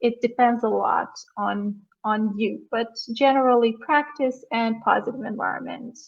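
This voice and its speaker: A woman's voice: a little high, steady tone, normal speed, gravelly. A woman from Serbia with a Serbian accent, chatting casually as an English tutor on an online call. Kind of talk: casual conversational chat. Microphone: online video call. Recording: muffled, lo-fi online call audio.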